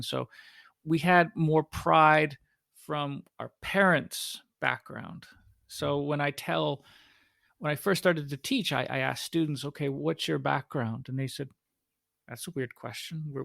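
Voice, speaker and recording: A curious Canadian man in his forties co-hosts a relaxed chat, his voice gravelly and a little rough around the edges. The sound is dry and close to the mic, with no room echo. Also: medium pitch. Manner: curious, chatty, thinks aloud. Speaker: co-host. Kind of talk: casual conversational chat.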